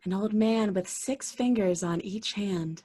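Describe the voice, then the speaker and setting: An actress playing a young, nervous, reluctant gangster's moll in an old time radio crime drama. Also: female voice, mid-range voice, measured pace, mellow, slightly rough. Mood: teasing.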